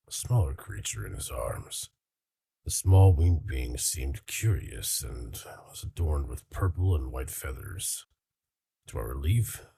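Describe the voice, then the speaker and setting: A man playing a measured, caring starship crew member in a scripted sci-fi skit, speaking formally and earnestly. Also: very deep voice, vocal fry, dark tone, slightly rough, no room sound, full character voice. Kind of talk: scripted sci-fi log narration in an audio skit.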